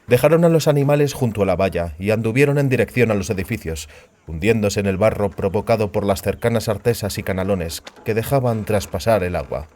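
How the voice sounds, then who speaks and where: A male audiobook narrator with a lowish voice. He reads literary fantasy prose as a scripted narration. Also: fairly quick, a little mellow.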